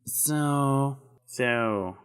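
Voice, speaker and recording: Storybook unicorn mare, male performer, slightly raised pitch, timid, flustered, hesitant stammer. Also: drawn-out words, smooth. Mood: awkward, apologetic.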